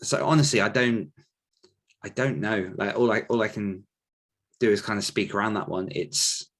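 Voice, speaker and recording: A man's voice: on the low side, normal speed, a bit bright. A man talking casually as a podcast host, rambling a little as he thinks aloud while answering questions. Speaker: podcast host and author coach.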